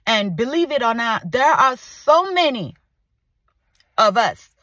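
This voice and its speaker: A woman's voice: on the high side, big pitch swings, slow, sharp tone, no room sound. A woman in her forties from Ghana, with an accent between Ghanaian and American, speaking passionately to her followers in a vlog. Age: 40s. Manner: passionate, emphatic, repeats for emphasis. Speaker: content creator. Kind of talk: direct-to-camera vlog.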